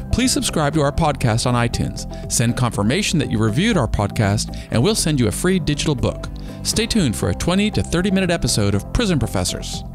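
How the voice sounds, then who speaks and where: A man hosting a podcast, reading an intro and then asking a guest encouraging questions. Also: fairly high pitch. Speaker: podcast host. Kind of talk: scripted intro and interviewing.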